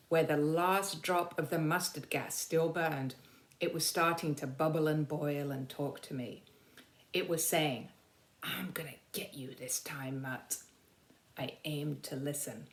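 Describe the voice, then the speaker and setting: A woman narrating a children's storybook aloud with dramatic, deliberate emphasis. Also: sits low, roomy sound. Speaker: teacher reading aloud.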